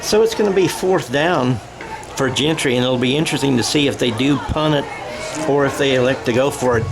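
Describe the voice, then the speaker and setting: Male football play-by-play announcer, folksy and rambling, correcting himself as he goes, recorded outdoors with clear ambient noise. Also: medium pitch, moderate pace, crowd noise. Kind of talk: radio-style football commentary.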